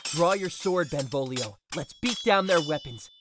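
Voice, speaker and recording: A man playing an earnest, higher-voiced young peacemaker. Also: lively intonation, unhurried, noisy background. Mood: urgent, pleading. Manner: earnest, pleading. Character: earnest, peace-seeking young gentleman refusing to fight. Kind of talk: audio drama acting.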